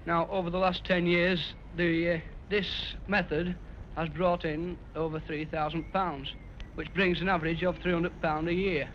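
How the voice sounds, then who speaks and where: A man's voice: fairly high pitch, flat delivery, normal speed, hardly any pauses. A young man answering questions in a 1950s radio interview. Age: young adult. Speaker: youth club captain interviewed. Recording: muffled, noisy 1950s radio recording.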